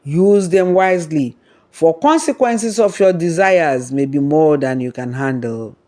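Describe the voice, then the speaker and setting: A storyteller plays a magical three-headed python in a folktale. It is wise and solemn, and gives its counsel slowly and with measured weight. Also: medium pitch, expressive, a little mellow, natural voice. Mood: solemn warning.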